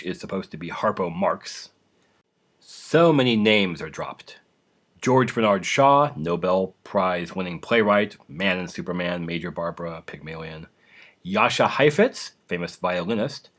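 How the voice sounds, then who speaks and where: A man giving a scripted, explanatory introduction as a podcast host. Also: on the low side.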